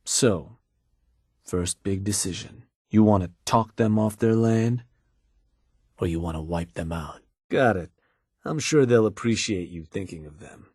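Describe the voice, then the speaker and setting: A raider gang's fixer in a video game, low-voiced and rough with husk. He talks like a wry, streetwise middleman briefing his boss, easygoing but all business. The sound is close and dry. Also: measured pace, darkish tone, no room sound. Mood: matter-of-fact, wry. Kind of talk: in-game character dialogue, conversational delivery.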